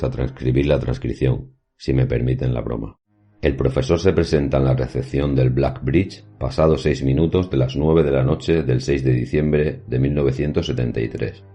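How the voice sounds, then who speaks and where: Casting: male actor for scholarly professor narrating horror fiction, extremely low, dark voice, formal and literary, light music underneath. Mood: formal, factual. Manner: formal, measured, literary diction. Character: erudite male professor recounting his paranormal experiences. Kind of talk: scripted horror audio drama narration.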